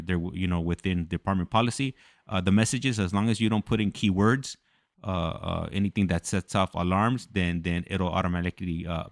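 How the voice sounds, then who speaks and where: A man with a very low voice, recorded dry with little room sound. He talks casually as the host of a live show. His delivery is hesitant, with phrases often started over. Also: no echo. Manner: hesitant, halting, repeats words. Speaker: host of a live prison call podcast. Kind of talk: casual conversational talk.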